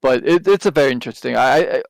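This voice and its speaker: Gravelly man. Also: medium pitch, animated, fast talker, dry recording, rough recording. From Taiwan. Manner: rambling, with many restarts and hesitant fillers. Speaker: podcast co-host. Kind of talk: casual chat.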